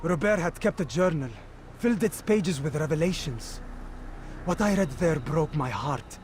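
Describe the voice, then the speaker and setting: A loyal medieval warrior voiced by a man speaks solemnly and formally in a game cutscene, gravely determined. His voice is a little high, with faint noise in the background. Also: expressive, normal speed, a little mellow, natural voice. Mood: grave, disillusioned. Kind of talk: dramatic video game cutscene acting.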